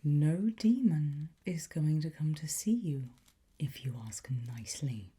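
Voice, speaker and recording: A woman doing a whispered ASMR teacher roleplay, softly and deliberately drawing out and enunciating her words. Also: sits low, expressive. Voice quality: breathy, soft whisper. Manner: slow, deliberate, drawn-out enunciation. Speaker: ASMR roleplay host as a teacher of demonic Latin incantations.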